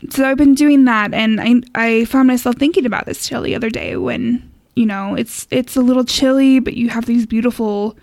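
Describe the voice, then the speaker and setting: Female podcast host, casual and reflective, higher-pitched with pronounced creak, close dry sound. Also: darkish tone, no echo. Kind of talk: casual chat.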